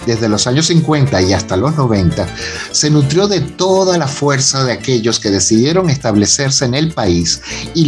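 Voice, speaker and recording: A man's voice: medium pitch, darkish tone. A man delivering scripted narration for a radio soap opera.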